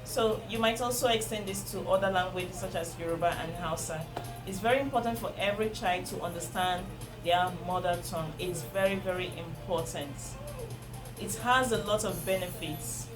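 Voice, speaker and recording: A woman's voice: mid-range voice, low-quality recording. Earnest Nigerian woman over music. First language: Igbo. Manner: earnest and instructive, repeats points for emphasis. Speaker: parenting vlogger. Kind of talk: advice talk to camera. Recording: music playing under the voice.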